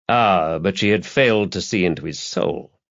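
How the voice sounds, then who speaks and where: A man's voice: low voice, moderate pace, fairly bright tone, dull audio. A man reading a crime novel aloud as an audiobook narrator, in a scripted literary style.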